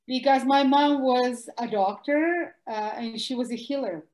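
A woman with a Russian accent, a guest doctor answering interview questions in an animated, emphatic way. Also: high voice, unhurried. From former Soviet Union. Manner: animated, emphatic, bursts of louder words. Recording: echoey room.

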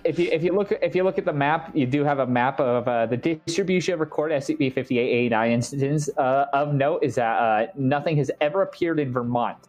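A man's voice: mid-range voice, fairly quick, few pauses, a little mellow, soft background music. A man co-hosting a stream, chatting and reading aloud with dry humour. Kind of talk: casual chat and read-aloud.

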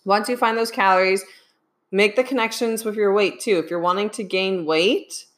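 A young adult woman who coaches fitness, giving live advice with a voice worn hoarse by a sinus infection and often checking in with "okay?". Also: medium pitch, fairly bright tone. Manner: keeps asking "okay?". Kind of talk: live-streamed advice talk.

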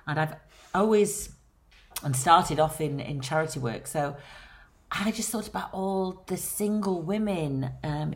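Low-voiced Lancashire woman, chatty. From Lancashire, England. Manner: chatty, with frequent ums. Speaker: guest. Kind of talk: casual personal account.

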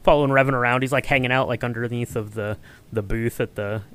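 A man's voice: mid-range voice, fairly even intonation, fast talker, fairly bright tone, clean tone. A man hosting a podcast in a casual, informal, conversational way. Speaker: podcast host. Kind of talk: casual chat.

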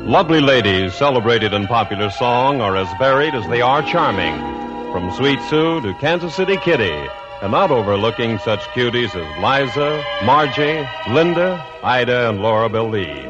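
A man's voice: medium pitch, lively intonation, moderate pace, music in the background. A man announcing from a script on 1950s radio, with polished, formal phrasing. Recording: dull, echoey old radio recording.

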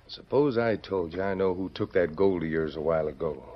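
An actor playing a sly small-town telegrapher who talks bluntly and wheedles, in 1940s radio style. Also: male voice, sits low, fairly quick, a little hiss or hum, lightly acted. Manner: blunt, wheedling frontier talk. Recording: dull lo-fi old radio recording.

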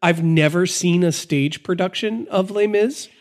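A congested American man with a slightly high voice co-hosts a podcast. He sounds nasal and a bit gravelly, and he keeps the casual chat cheeky and upbeat. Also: measured pace. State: congested from a sinus infection. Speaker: podcast co-host.

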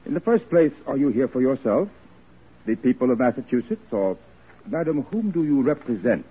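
A man's voice: mid-range voice, very animated, moderate pace, slightly rough, phone-quality sound. An actor playing a pompous, condescending legislative chairman in an old radio drama, formal and sardonic. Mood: skeptical. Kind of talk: classic American radio drama acting.

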